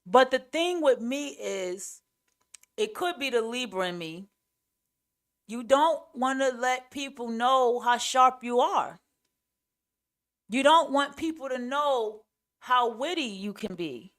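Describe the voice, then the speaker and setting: A woman podcaster with a raspy voice that carries and a clear New York accent. She talks off the cuff in a casual monologue, blunt and opinionated, leaning hard on her points and swearing freely. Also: fairly high pitch, lively intonation, slow, pauses often, fairly bright tone. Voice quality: raspy, carrying voice. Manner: blunt, emphatic, profane. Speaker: podcast host.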